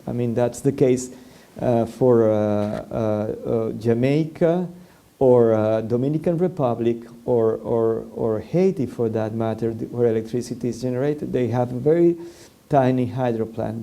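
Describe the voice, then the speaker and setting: A man from Venezuela, a native Spanish speaker, talks through a policy point at a public forum. His voice is very dark and mellow. He stumbles often, filling gaps with "uh" and repeating words before he finds the thought. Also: mid-range voice, measured pace, gravelly. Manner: hesitant, many fillers and restarts. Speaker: panelist.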